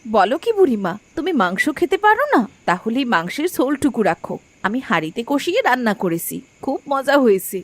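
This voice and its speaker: A woman voicing a brisk, caring young village wife and mother in a cartoon folk tale. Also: on the high side, very animated, no room sound. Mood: friendly, caring. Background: background music.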